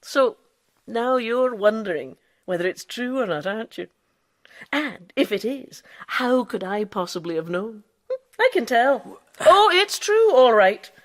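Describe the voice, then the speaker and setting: A woman's voice: a little high, big pitch swings, a little slow, no echo, studio quality. An actress in a radio drama playing an impudent, boastful female quack doctor who lives as a man. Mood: triumphant, probing. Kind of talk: radio drama acting with narration.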